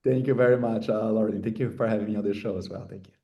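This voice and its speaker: Low-voiced man, native Portuguese speaker. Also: fairly even intonation, fast talker, lo-fi sound. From Brazil or another developing Portuguese-speaking country. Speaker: guest expert and author. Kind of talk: conversational interview.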